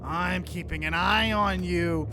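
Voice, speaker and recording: A man voicing a scornful, impatient companion from a horror visual novel, giving forceful orders. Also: sits high, slow, background noise. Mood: stern, menacing. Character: commanding, menacing nightmare companion, scornful and impatient.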